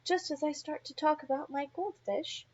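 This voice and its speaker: A woman teacher reading a storybook aloud to young children in an expressive way, speaking to them directly. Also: high-pitched, normal speed, highs cut off.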